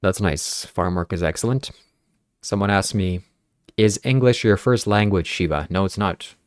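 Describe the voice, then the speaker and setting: A man's voice: low-pitched, normal speed, smooth, no echo, clean studio sound. A man whose first language is Ukrainian, hosting a casual podcast and earnestly reading viewer comments aloud. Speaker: podcast host. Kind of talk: casual livestream podcast chat.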